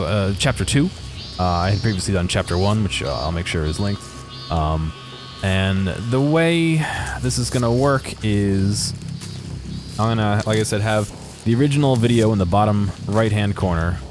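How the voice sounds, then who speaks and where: A man with a low voice talks through game footage as its video creator. His commentary is casual and unscripted, with a halting, hesitant flow. Music plays behind him.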